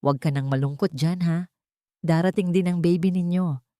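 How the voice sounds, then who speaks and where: Casting: woman narrator as upbeat, supportive female best friend for audio drama; slightly low register, dry close sound. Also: normal speed, no room sound, natural voice. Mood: reassuring. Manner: lively, chummy, encouraging. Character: cheerful, reassuring young married woman's best friend, female.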